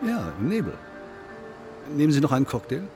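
An actor playing a laconic undertaker in a German radio drama, speaking in a dry, detached, deliberate manner with morbid musing. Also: male voice, on the high side, very animated, music in the background. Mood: polite, distracted.

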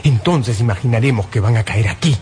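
An actor playing an anxious, obsessive astronomer in a radio drama, insistent and emphatic, speaking in clipped, repeated phrases. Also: male voice, medium pitch, brisk, a little mellow, slight background noise, natural voice. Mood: grave, worried. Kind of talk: Spanish-language radio drama acting.

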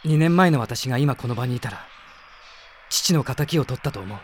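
An actor playing an idealistic young prince with a formal, sincere, intense manner in a radio drama. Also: male voice, medium pitch, animated, normal speed, a little hiss or hum, natural voice. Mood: earnest pleading. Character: earnest, idealistic young prince leading an army. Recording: music behind the voice.

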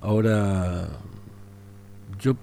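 Male radio host, Argentine accent, slow and hesitant, mellow low voice. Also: creaky. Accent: Argentine Spanish. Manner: hesitant, many fillers. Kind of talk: casual radio talk.

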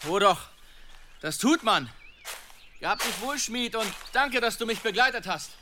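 A man's voice: sits high, lively intonation, unhurried, noisy background. An actor playing a weary, thoughtful man of the monastery in a period radio drama. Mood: weary, resolute. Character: weary, thoughtful monastery-dweller resolved to fight a demon.

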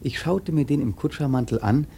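An actor playing a wary, earnest seafarer telling his tale in a German radio play, husky and measured. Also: medium pitch, lively intonation, warm tone, slight background noise. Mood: uneasy. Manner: measured, suspenseful storytelling.

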